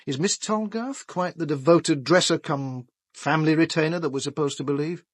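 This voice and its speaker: A man's voice: fairly high pitch, normal speed, no room sound, clean studio sound, natural voice. A British actor playing a shrewd, dryly sardonic police inspector in a radio drama, wry and probing in his questioning. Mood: wry, speculative. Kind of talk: full-cast radio drama.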